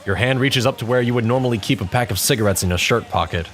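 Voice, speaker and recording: A man's voice: mid-range voice, quick pace, fairly bright tone. A man reading scripted story narration as the narrator of an audio tale. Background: background music.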